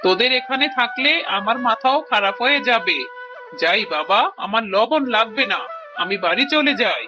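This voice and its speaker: Scolding high-voiced tigress aunt played by a woman. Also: animated, moderate pace, over music, close to an everyday voice. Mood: scornful. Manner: scolding, mocking. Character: scornful, brusque tigress aunt neighbour. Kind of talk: Bengali children's cartoon voice acting.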